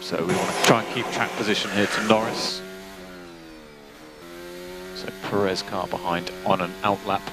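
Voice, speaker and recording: A man working as a race engineer, giving calm, clipped technical instructions over team radio. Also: sits low, normal speed, pauses often. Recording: noisy team radio, engine noise behind.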